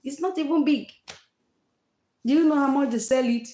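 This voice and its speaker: A woman's voice: sits high, moderate pace, warm tone, roomy sound. A woman from Nigeria with a Nigerian accent, hosting a casual livestream chat and repeating herself for emphasis. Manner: repetitive, emphatic, uses Nigerian fillers like eh and oh. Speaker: live-stream host.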